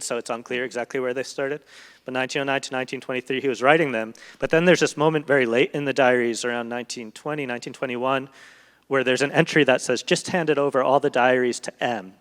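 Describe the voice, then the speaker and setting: A man speaking as a guest translator in a literary discussion, explaining thoughtfully in long, halting sentences. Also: mid-range voice, a bit bright. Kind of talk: literary discussion before an audience. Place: large event hall, live public talk. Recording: echoey hall with long reverb.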